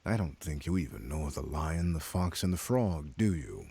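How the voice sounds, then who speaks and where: A smug, menacing male crime boss for a noir video game. The voice is very deep and husky. He talks down to listeners in cryptic, measured phrases with lively swings of pitch. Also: full character voice. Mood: condescending. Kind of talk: scripted video game voice acting.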